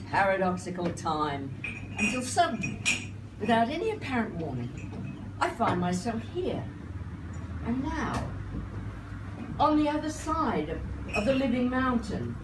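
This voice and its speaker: An older woman reciting poetry live, with theatrical, wry and deliberate phrasing. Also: mid-range voice. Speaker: performance poet and singer. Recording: roomy, noisy live recording, distant mic.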